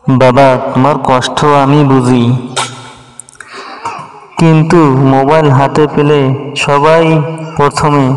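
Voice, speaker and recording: A man voicing a patient, cautious father in a moral cartoon, admonishing in a measured way. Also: fairly high pitch, level tone. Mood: patient caution. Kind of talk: slow scripted moral cartoon dialogue. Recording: echoey, long reverb.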